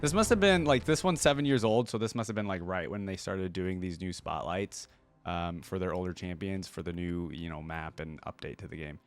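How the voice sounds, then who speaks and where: A man's voice: low-pitched, a little fast. A man hosting a reaction video, giving casual, musing commentary with short murmured responses.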